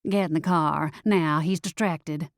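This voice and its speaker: A woman's voice: low voice, steady tone, a little slow, dry recording. Lady doing a bossy cat for an audiobook, folksy n sassy, dry sound. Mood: urgent.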